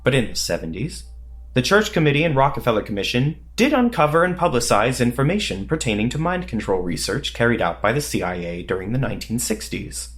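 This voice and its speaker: A man playing a dry, sardonic veteran secret agent in an audio drama, terse, pedantic and deadpan. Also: medium pitch, a little fast, natural voice. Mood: cool, matter-of-fact.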